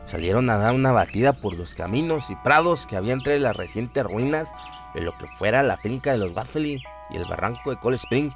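A man narrating a horror story in a dramatic, scripted storytelling style. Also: mid-range voice. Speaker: narrator of horror audio stories.

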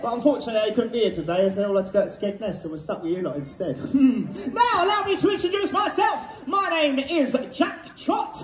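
Man playing a high, animated, cheeky panto lad. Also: fast talker, few pauses. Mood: cheeky, excited. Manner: shouty, jokey, playful. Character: cheeky, boisterous young lad hero. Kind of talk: pantomime audience banter. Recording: echoey theatre with audience noise.

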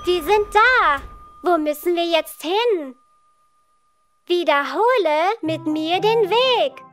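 A woman's voice: extremely high pitch, animated, slow, fairly bright tone, exaggerated voice. A woman voicing a cheerful, helpful little girl in an educational children's cartoon, speaking to young viewers in simple instructive phrases. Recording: music behind the voice.

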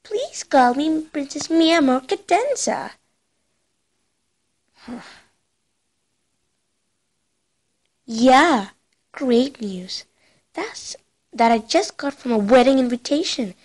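A woman voicing a bookish young pony princess from a cartoon, earnest, excitable and dramatic in her delivery. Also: high voice, slow, long pauses, heavily acted. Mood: hurt, sarcastic. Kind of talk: cartoon character acting.

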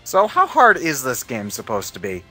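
A man's voice: mid-range voice, huge pitch swings, moderate pace, bright, forceful tone, husky. A man in casual game commentary, puzzled and inquisitive, often asking questions. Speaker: gaming commentator. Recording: game music behind the voice. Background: video game music.

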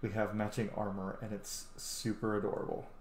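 A man's voice: on the low side, flat delivery, normal speed, a little mellow. A chatty, gushing man in his thirties giving casual commentary as he hosts a game playthrough. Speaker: gaming host. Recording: roomy sound with faint noise.

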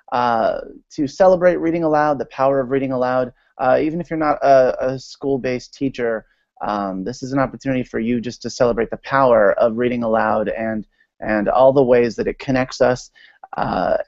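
A chatty American man in his thirties presents informally over a video call. His voice sits at a middle pitch, and the sound is close and dry but slightly lo-fi. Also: normal speed, vocal fry, a little mellow, no room sound. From United States, Maryland. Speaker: guest speaker, literacy advocate. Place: small room at home, indoors. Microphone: video call with earphones. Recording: dull lo-fi video call audio.

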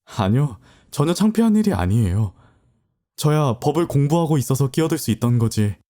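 A man's voice: mid-range voice, very animated, fairly quick, natural voice. A man playing a confident young law student who faces down thugs, then speaks politely. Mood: gentle. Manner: cool and assertive, then polite and gentle. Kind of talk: amateur audio drama acting.

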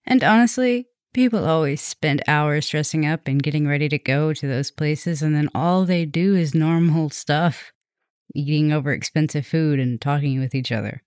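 A woman's voice: deep voice, moderate pace, darkish tone, dry recording, clean studio sound, natural voice. A woman playing a flirty, teasing vampire professor in an affectionate, chatty ASMR roleplay spoken to the listener.

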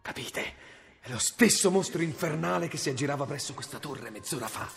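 An actor playing a stern medieval male inquisitor in a radio drama, measured and brooding as he reads from an old book. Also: a little high, animated, gravelly, a bit bright, soft background music. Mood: commanding.

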